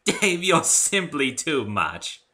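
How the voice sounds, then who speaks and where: A man's voice: on the high side, very animated, takes it slowly, pushed and bright, pitched above the performer's own voice, heavily acted. A man voicing a refined, genteel, good-natured female unicorn in a dramatic story reading, with an elegant, theatrical, affectionate manner. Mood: theatrically amused.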